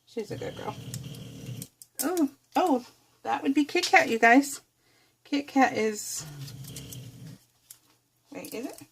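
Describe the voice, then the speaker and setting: A woman's voice: high-pitched, lively intonation, slow pace, creaky, pauses often, a little hiss or hum. A middle-aged woman hosting a craft vlog, chatting casually and rambling as she works, often correcting herself and giggling. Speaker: craft video host.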